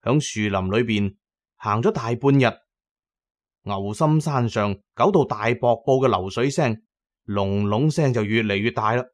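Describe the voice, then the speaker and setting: A man's voice: medium pitch, unhurried. Cantonese male storyteller, dramatic narration. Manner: storyteller delivery with colloquial asides. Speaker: audiobook narrator.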